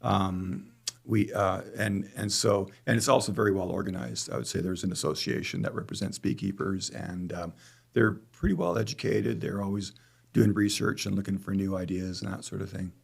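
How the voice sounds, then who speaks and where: Low, gravelly middle-aged Canadian man. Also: fairly even intonation, normal speed. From Calgary, Canada. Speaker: podcast guest, beekeeper. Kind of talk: conversational interview.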